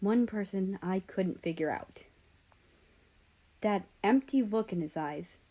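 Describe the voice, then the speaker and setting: A woman narrating a scripted first-person story in a measured, deliberate way. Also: medium pitch, dark tone. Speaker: audiobook-style reader of fan fiction.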